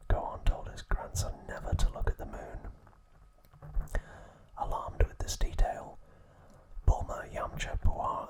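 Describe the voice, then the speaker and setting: A man narrating a recap as an ASMR host in a soft, unvoiced whisper, in short deliberate phrases. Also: low-quality recording.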